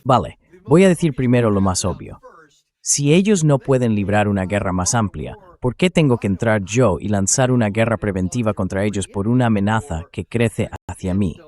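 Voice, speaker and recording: Low-voiced man giving measured political commentary. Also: animated, darkish tone. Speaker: show host. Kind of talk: political commentary to camera, translated read-aloud.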